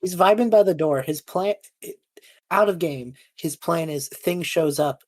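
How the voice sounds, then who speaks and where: A woman's voice: on the low side, a little slow, a little mellow, no room sound. A woman playing a tabletop game, describing her character's moves in a loose, slangy, conversational way. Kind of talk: casual narration of own character's actions.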